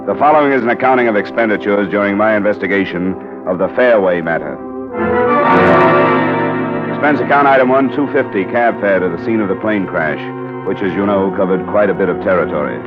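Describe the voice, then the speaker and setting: Male, American, actor as tough vintage-radio detective. Deep, matter-of-fact delivery, dulled old recording. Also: brisk, muffled recording, loud music behind the voice, natural voice. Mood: grim, matter-of-fact. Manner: clipped, hard-boiled, matter-of-fact. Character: tough, level-headed male freelance insurance investigator. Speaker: lead actor and narrator. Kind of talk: 1940s-50s radio detective acting, first-person narration.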